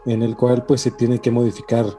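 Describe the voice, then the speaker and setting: A man's voice: mid-range voice, monotone, normal speed, mellow. A man with a Mexican accent co-hosting a casual podcast, often checking for agreement with little tag questions. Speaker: podcast co-host. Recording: music playing behind the voice. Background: music.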